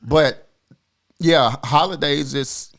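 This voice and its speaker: Man, forties, podcast co-host, earnest, reflective, halting delivery. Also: fairly high pitch, vocal fry, fairly bright tone. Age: 40s. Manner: reflective and earnest, with many pauses and fillers. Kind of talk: personal storytelling on a podcast.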